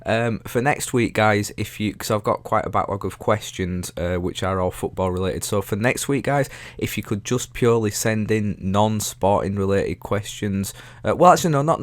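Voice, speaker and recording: Sniffly man, low voice. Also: no echo. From England. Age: 20s. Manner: rambling, self-correcting, chatty. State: sniffly from hay fever. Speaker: YouTube host answering viewer questions. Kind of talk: casual Q&A chat.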